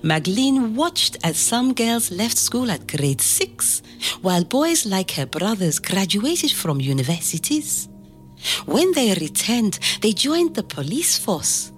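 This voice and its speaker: A woman playing a proud, fond grandmother in an audio drama, telling her life story to her grandchild as an expressive storyteller. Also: medium pitch, takes it slowly, soft background music. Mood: measured storytelling.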